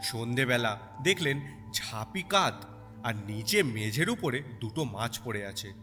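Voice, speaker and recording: Expressive male storyteller in deep reverb. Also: measured pace. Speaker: story narrator. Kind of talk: expressive scripted story narration. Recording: long reverb over music bed. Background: music and cricket sounds.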